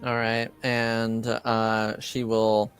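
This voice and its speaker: A man running a tabletop game as game master, improvising narration and often pausing to think mid-sentence. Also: lowish voice, completely flat delivery, a bit bright, smooth, soft background music.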